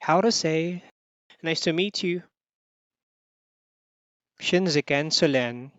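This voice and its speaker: A slow, measured lesson host who reads each phrase carefully and then repeats it. The recording is close and dry and somewhat muffled, with the top end dulled. The delivery stays even throughout. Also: mid-range voice, dry recording. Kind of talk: scripted lesson narration.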